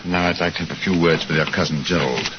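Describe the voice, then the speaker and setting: An actor playing a shrewd, composed English gentleman detective in 1940s radio style, with a measured, formal, authoritative delivery. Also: deep voice, lightly acted. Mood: grave, decisive. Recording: noisy muffled 1940s radio recording.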